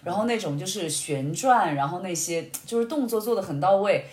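A young woman chatting casually and telling personal stories in an animated way, in short phrases. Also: mid-range voice, brisk. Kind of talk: casual conversational storytelling. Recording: roomy, echoey sound.

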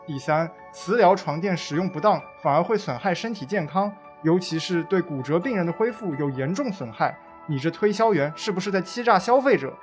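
A man's voice: sits high, lively intonation, brisk, hardly any pauses, faint music behind. A man playing an earnest legal-awareness volunteer who explains things firmly, point by point, in a radio play. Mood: firm, accusing.

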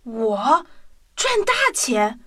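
Woman playing an excitable, high-pitched young boy. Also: very slow, exaggerated voice. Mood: astonished. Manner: exclamatory, wide-eyed. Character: earnest young boy, poor, hopeful, excitable. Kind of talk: children's radio play acting.

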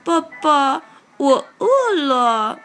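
A woman acts out a little newborn duckling from a children's storybook. The voice is very high, bright and childlike, with slow, pleading, affectionate calls. Soft music plays underneath. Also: big pitch swings, heavily acted. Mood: hungry, pleading.

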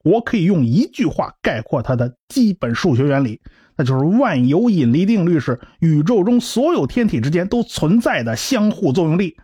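A man's voice: fairly high pitch, very animated, moderate pace, dark tone, breathy edge, no echo. A man playing a cocky, talkative scientist in a radio drama, with a blustering, explanatory way of talking. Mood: emphatic. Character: cocky, talkative male scientist and science populariser, brash and lively. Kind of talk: Chinese radio drama acting.

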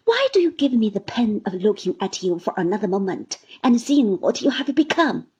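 Proud older aunt, reproachful and emotional, storybook voice, breathy and swinging in pitch as a woman reads her. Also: expressive, a little slow, highs cut off, exaggerated voice. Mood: indignant.

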